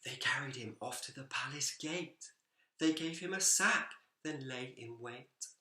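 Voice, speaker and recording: A man reading a children's picture book aloud in an expressive, animated storytelling manner. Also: medium pitch, unhurried. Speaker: school story-time reader, teacher. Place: indoors, seated in a reading chair. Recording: echoey room.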